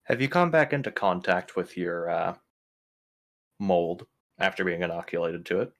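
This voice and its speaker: A man's voice: sits low, expressive, normal speed. A man playing a skeptical crew member in a tabletop sci-fi roleplay, questioning in a pointed, informal way. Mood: inquisitive. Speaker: role-player in a sci-fi horror game. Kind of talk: improvised in-character tabletop roleplay.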